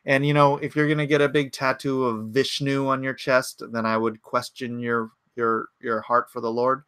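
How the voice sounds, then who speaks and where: A man's voice: mid-range voice, flat delivery, normal speed, fairly bright tone, smooth, no echo. A man in his forties hosting a casual livestream from his home computer, rambling and thinking aloud as he answers chat comments. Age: 40s. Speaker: livestream host.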